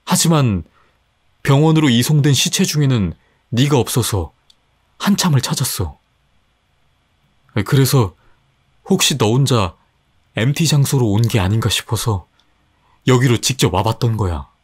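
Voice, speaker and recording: A man's voice: medium pitch, animated, a little slow, pauses often, husky. A man voicing a young male student who shivers in the cold and talks in a shaky, puzzled, blunt way. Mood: shaken.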